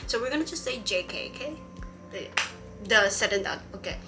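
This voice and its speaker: A woman's voice: medium pitch, lively intonation, brisk, loud music behind the voice. A woman vlogger from Hawaii chatting casually about a comic she is reading, exasperated and rambling, with many fillers and restarts.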